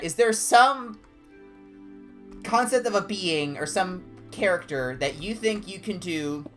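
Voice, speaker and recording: A man with a higher-pitched voice, chatting casually and discursively as a game streamer. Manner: animated, discursive, jumping between tangents. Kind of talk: casual rambling livestream chat during gameplay.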